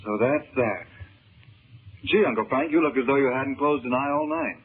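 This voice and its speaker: An actor playing an eager, worried teenage son in a 1930s radio serial, boyish, earnest and slangy. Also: male voice, medium pitch, normal speed, breathy edge, lightly acted. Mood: earnest, consoling. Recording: muffled, tinny 1930s radio recording.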